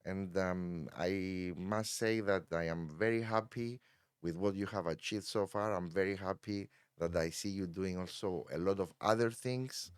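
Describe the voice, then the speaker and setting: A man's voice: deep voice, measured pace, clean tone, dry recording, studio quality. A middle-aged man from Cyprus, a hotel director talking as a guest in a casual interview, hesitant, with many ums and restarts.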